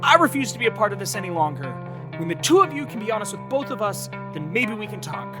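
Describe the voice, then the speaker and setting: Guitar music plays behind an actor as a furious man in an audio drama. His voice is high and very animated, and he is blunt, profane and confrontational. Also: fast talker. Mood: angry, disgusted. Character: furious, hot-headed male friend who feels betrayed.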